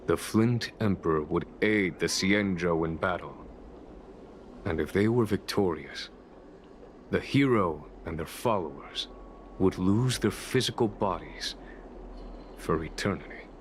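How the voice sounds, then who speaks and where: An actor playing a solemn, courtly, world-weary man in a video game, speaking formally and deliberately. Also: low voice, lively intonation, a little hiss or hum. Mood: solemn, wistful. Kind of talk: video game voice acting, measured storytelling.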